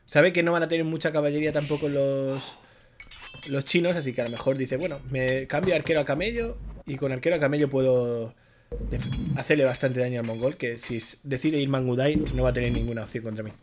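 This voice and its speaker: A young man, casting a strategy game live with analysis and quick reactions on a headset mic. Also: mid-range voice, noisy background. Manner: analytical, lively, reacts to plays. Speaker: esports caster.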